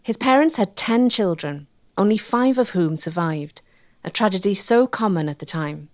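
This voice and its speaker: A woman's voice: mid-range voice, lively intonation, normal speed, no room sound. Woman narrating a documentary, dry close sound.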